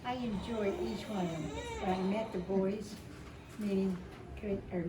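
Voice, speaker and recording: Elderly woman speaking haltingly, echoey and distant. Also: medium pitch, fairly even intonation. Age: 70s. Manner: rambling, halting, emotional. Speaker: widow speaking at a memorial. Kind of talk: informal personal tribute, storytelling. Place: indoor family memorial gathering. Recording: echoey, distant mic, noisy gathering room.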